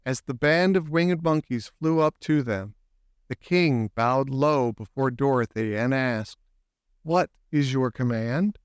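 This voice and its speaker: A man's voice: medium pitch, a little slow, smooth, no echo, studio quality. A man reading a children's story aloud as scripted audiobook narration.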